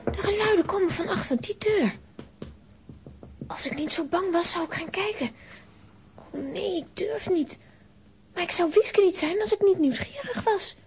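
A woman's voice: very high-pitched, animated, a little slow, long pauses, slight background noise, heavily acted. A woman playing a plucky, curious, easily frightened little girl in a children's audio drama, with a dramatic, exclamatory delivery. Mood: frightened, determined.

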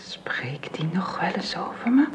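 A weary bedridden wife. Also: female voice, fairly low pitch, very animated. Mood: resigned. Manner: soft, halting, often whispered. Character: long-ill bedridden wife, gloomy and resigned. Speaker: bedridden invalid. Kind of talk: radio drama acting. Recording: dull lo-fi old radio recording.

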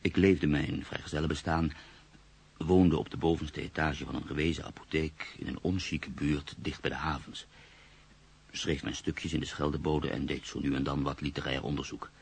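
An actor playing a reflective, hesitant journalist and writer in his mid-thirties in a radio drama, speaking in a measured, literary way. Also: a bass voice, little pitch movement, gravelly, husky, exaggerated voice. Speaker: actor narrating as the lead character. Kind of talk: radio drama monologue and dialogue.

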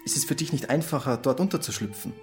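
A man's voice: mid-range voice, expressive, fast, a little mellow, soft background music, natural voice. An actor playing a reserved, evasive man in a radio play, speaking tersely and matter-of-factly to a young woman. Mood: persuasive, cool.

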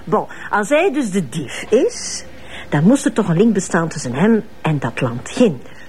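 A woman's voice: fairly low pitch, very animated, moderate pace, darkish tone, slight background noise. An actress playing a spirited, outspoken policewoman with a Flemish accent, talking colloquially in a naturalistic radio drama. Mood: eager. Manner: colloquial, exclamatory.

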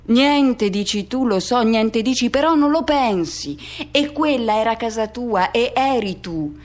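A woman performing a radio theatre monologue as an anguished, pleading lover recalling a forbidden teenage love, in urgent, halting phrases that insistently repeat. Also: on the high side, animated. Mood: bitter, insistent.

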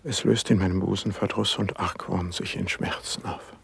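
An actor playing a sensitive, driven, melancholy young poet in a classical verse radio drama, with fervent, declaimed diction and a husky voice. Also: male voice, deep voice, fairly even intonation, moderate pace, heavily acted. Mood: bitter, agitated.

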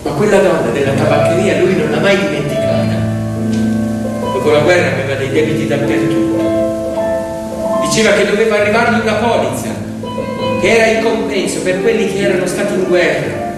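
Male stage narrator, dramatic and measured, distant from the mic with loud music behind. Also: sits high. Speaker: stage storyteller and actor. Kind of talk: theatrical narrative storytelling. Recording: echoey hall from far off, loud music. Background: piano and plucked string music.

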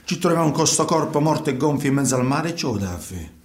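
An actor playing a troubled working fisherman in a colloquial, halting radio monologue, repeating himself. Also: a little high, lively intonation, darkish tone, echoey room, lightly acted. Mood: somber, subdued. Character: troubled working fisherman recounting life at sea. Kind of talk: theatrical radio monologue in conversational storytelling.

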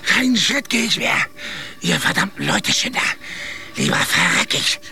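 A man's voice: sits high, wildly swooping pitch, normal speed, bright, forceful tone, slight background noise, exaggerated voice. A man playing a furious, bitter and defiant mutinous ship's carpenter in a radio drama.

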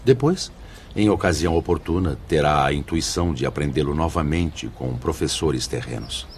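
Teócrito, mentor espiritual de voz profundíssima. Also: male voice, very deep voice, moderate pace, a little hiss or hum. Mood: instructive, solemn. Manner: solemn, paternal, declamatory. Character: Teócrito, wise, fatherly male spirit mentor and director. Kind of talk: radio drama acting. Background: background music.

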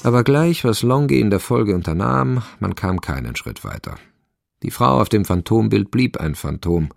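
A man giving measured, composed literary narration for a radio crime drama. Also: fairly low pitch, expressive, gravelly, no echo, clean studio sound.

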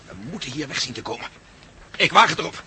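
An actor playing a bold, impulsive adventurer on the run in a radio play, urgent and commanding. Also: male voice, a little high, big pitch swings, quick pace, sharp tone, heavily acted. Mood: tense resolve. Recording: noisy old radio drama recording.

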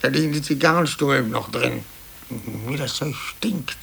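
A man's voice: medium pitch, normal speed, creaky, a bit bright. An actor playing a grumbling, aggrieved older male office clerk with a sarcastic manner in a 1950s German radio play. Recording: hissy old cassette copy of radio broadcast.

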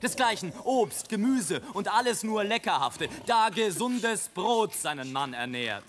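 An actor playing a sardonic airship traveller who looks down on mankind, mocking and oratorical in a literary radio play monologue. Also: male voice, high-pitched, very animated, measured pace, fairly bright tone, a little hiss or hum, exaggerated voice. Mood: haranguing, mock-solemn. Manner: mocking, oratorical, rolling long sentences. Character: sardonic male airship traveller, grimly mocking his fellow men.

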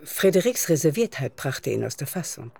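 Mellow, creaky-voiced woman narrator. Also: low voice, sing-song, normal speed, no echo. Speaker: narrator of a radio play. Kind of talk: scripted literary narration. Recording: faint music under narration. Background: faint music.

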